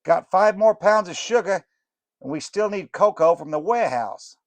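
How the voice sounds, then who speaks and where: A man voicing a fast-talking bald male inmate with a Northeastern accent, griping in a raised, wisecracking voice. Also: sits high, animated, dry recording, pitched above the performer's own voice.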